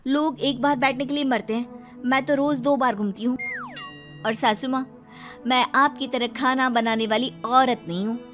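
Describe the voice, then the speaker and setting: A woman voicing a haughty young air hostess daughter-in-law in an animated moral story, boastful and dramatic. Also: sits high, moderate pace, music in the background, close to an everyday voice. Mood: scornful, boastful.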